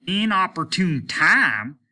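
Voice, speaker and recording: An aging rocket captain played by a male reader, weary and scornful, with a piercing, forceful edge. He pitches his voice up and snaps out orders and indignant questions like someone used to command in this audiobook tale. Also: big pitch swings, slow, full character voice. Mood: commanding, sharp. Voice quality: sharp. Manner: snapping, indignant, barking rhetorical questions. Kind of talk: audiobook character voice.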